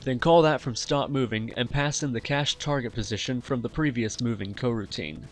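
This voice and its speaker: A man hosting a software tutorial, explaining each step in an instructional way. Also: mid-range voice, normal speed, a little hiss or hum. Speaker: tutorial host. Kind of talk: software tutorial narration over screen recording.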